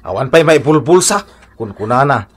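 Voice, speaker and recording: A man playing a poor, resigned husband and father in a radio comedy drama, sighing and philosophising, with an Ilocano accent and a husky voice. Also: mid-range voice, animated, moderate pace. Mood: philosophical.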